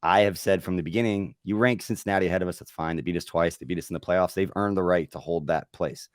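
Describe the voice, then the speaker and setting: Casual sports podcast host, male, deep and hoarse, quick rambling delivery. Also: no room sound, clean studio sound. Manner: rambling, chatty with filler words. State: losing his voice.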